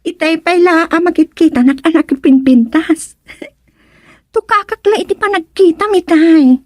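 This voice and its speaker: A woman's voice: very high voice, unhurried, a little mellow, dry recording, clean studio sound. A woman playing a mysterious frog princess turned beautiful young woman, theatrical, in a comedy radio drama. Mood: coy, proud.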